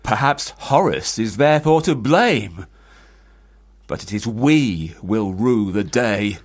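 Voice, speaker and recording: Stern older father, husky and sarcastic. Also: lowish voice, lively intonation, slow pace, full character voice. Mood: reproachful. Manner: formal, biting, rhetorical. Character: stern, sarcastic parson father scolding his failed adult son. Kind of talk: period radio drama acting.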